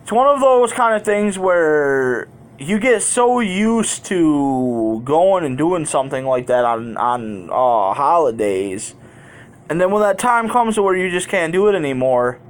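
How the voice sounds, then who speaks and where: A man's voice: a little high, lively intonation, a little slow. Casual, rambling man telling personal stories. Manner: rambling, candid, colloquial. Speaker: YouTube vlogger. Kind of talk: casual personal storytelling to viewers.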